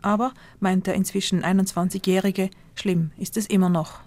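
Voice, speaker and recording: A woman reporter reads a news feature in a measured, descriptive way. Her voice sits at an ordinary middle pitch, steady and even. The delivery is composed and scripted throughout. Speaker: reporter narrator.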